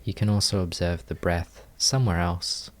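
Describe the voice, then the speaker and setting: A man leading a guided meditation, giving gentle, calm instructions in measured phrases with long pauses. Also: low voice. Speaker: meditation teacher.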